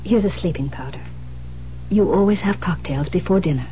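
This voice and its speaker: A woman playing a composed, determined confidante in an old-time radio thriller, earnest, deliberate and reassuring. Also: fairly low pitch, close to an everyday voice. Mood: earnest, insistent. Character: Iris, composed, determined woman coaching a frightened friend. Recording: muffled old radio recording with noise, hum.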